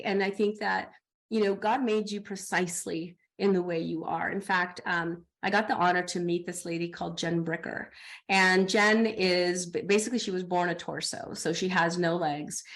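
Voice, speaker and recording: A middle-aged woman coach talking in a podcast interview, animated, acting out her own inner dialogue. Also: mid-range voice, moderate pace.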